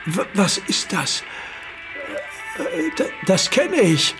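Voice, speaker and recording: A man's voice: high voice, big pitch swings, takes it slowly, over music, heavily acted. An actor playing an older father who is tormented by pain and speaks desperately in a German radio drama. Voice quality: groaning, strained and desperate.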